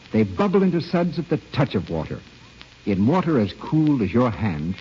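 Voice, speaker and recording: A man announcing on an old-time radio show, reading a scripted commercial in a polished, emphatic sales pitch. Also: a little hiss or hum. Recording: muffled old radio broadcast.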